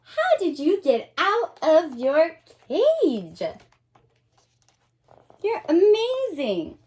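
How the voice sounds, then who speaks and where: Woman as storybook girl, affectionate pet owner, very high, exclaiming, amazed, wildly lively pitch. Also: drawn-out words, full character voice. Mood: amazed, delighted. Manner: gushing, exclaiming.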